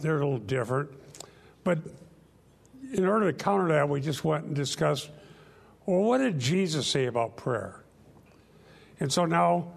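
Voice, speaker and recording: An older man leads a radio Bible discussion with a fry-edged voice that sits a bit high for a man. He teaches earnestly and with emphasis, pausing often between phrases. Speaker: radio show host and pastor.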